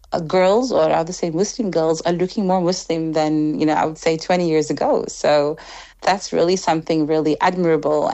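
A low-pitched woman from Egypt, a native Arabic speaker, talks on a radio show with a gravelly edge. She laughs softly and often restarts her sentences while she reaches for English words. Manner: warm laughter, frequent Arabic religious phrases, hesitant restarts. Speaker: radio guest, community youth worker. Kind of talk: radio interview.

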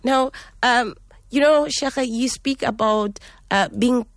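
A woman hosting a radio talk show, reading a measured introduction with fillers and restarts before turning to her guest. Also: fairly high pitch, no room sound, clean studio sound. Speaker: radio host.

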